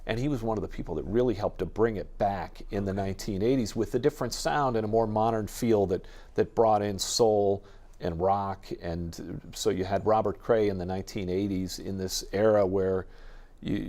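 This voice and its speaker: A man with a slightly low voice talks as a knowledgeable radio guest. He explains music in a discursive, conversational way, with clear enthusiasm for his subject. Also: moderate pace, dark tone. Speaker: radio jazz expert guest. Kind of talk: enthusiastic, informative interview chat.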